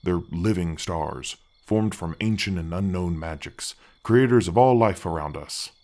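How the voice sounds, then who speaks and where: Gargoyle guardian played by a man, ancient but gentle: very low, effect-deepened voice, friendly, reassuring, slightly awkward fantasy ASMR delivery. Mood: chatty, explaining. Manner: halting, friendly, reassuring, chatty. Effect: deep voice effect.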